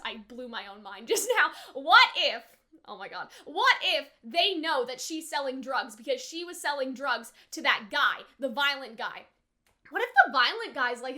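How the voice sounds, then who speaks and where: A young woman giving casual, excitable reaction commentary as a YouTuber, rambling and giggly, drifting into tangents. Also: high voice. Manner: giggly, rambling, animated, filler-heavy tangents. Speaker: YouTuber reacting to an anime episode.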